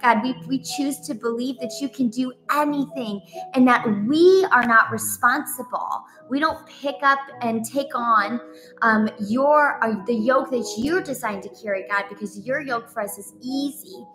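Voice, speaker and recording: A woman's voice: fairly high pitch, a little slow, over music, poor audio. A woman praying aloud in an earnest, pleading way, off the cuff, with repeated phrasing. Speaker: co-leader praying. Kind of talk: extemporaneous prayer.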